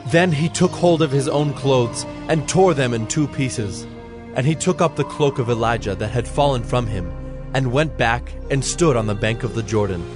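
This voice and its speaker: A man's voice: mid-range voice, normal speed. A man narrating scripture from a script in a solemn storytelling manner. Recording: background music under the voice.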